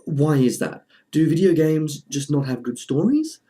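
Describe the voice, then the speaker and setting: A man's voice: fairly high pitch, lively intonation, measured pace, mellow. Dryly joking man hosting a video essay. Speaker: video host. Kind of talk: casual video essay commentary.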